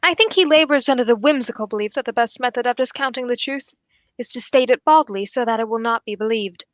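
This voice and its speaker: A young woman playing a keen, courteous detective in a radio mystery, phrasing everything formally and deliberately. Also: a little high, no echo, lightly acted. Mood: pointed, assured. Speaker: actor in a student radio drama. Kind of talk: old-time radio mystery acting.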